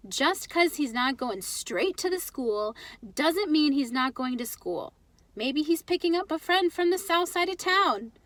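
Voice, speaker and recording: A stubborn, exasperated young storybook boy, voiced by a woman, high and swooping in pitch. He complains insistently, in a dry recording. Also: animated, measured pace, a bit bright, no room sound. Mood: defensive. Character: frustrated, stubborn young boy narrating his own life. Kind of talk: children's storybook read-aloud.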